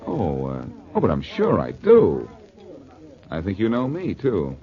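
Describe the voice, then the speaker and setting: An actor playing a cocky, wisecracking amateur detective in a 1940s radio drama, needling and wry. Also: male voice, sits low, huge pitch swings, a little slow, heavily acted. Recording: dull lo-fi 1940s radio recording.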